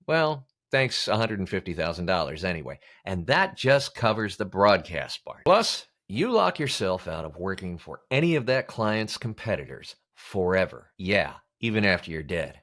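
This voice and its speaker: An American man delivering scripted instructional narration as an experienced presenter, with emphatic, punchy phrasing and pointed pauses. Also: on the low side, a bit bright. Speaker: voice actor teaching about auditions.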